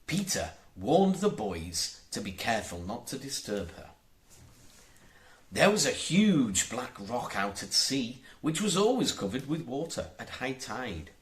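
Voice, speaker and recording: A man narrating a children's storybook in a measured, deliberate and expressive way. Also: medium pitch. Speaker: audiobook narrator.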